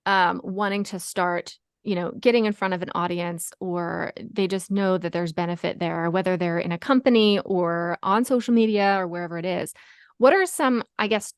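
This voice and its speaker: A woman's voice: fairly low pitch, moderate pace, clear, resonant tone, no echo, studio quality. A woman hosting a podcast interview over a video call, agreeing often and asking her guest for tips. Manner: affirming, frequent short agreements. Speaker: podcast host.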